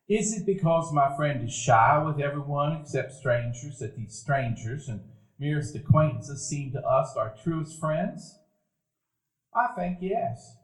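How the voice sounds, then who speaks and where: A man narrating a live stage radio play in a warm, reminiscing storytelling manner. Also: fairly high pitch. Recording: echoey room, live audience.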